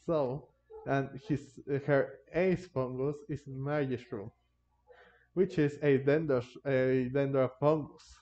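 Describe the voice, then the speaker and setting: A man hosting a gaming video with casual commentary, halting as he searches for words. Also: medium pitch, steady tone, mellow. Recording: dull, lo-fi microphone sound. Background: game music.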